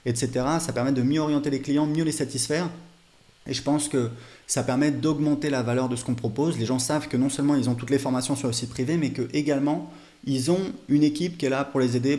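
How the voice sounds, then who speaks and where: A man with a mid-pitched, mellow voice talks through an on-screen demo like an online coach. His delivery is casual and unscripted. He phrases things loosely, often hesitating and leaning on small filler words. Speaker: online business coach presenting a software demo.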